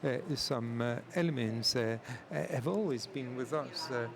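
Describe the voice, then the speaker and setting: A man giving live interpretation at a conference, halting and full of filler sounds. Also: mid-range voice, a crowd in the background. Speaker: simultaneous interpreter.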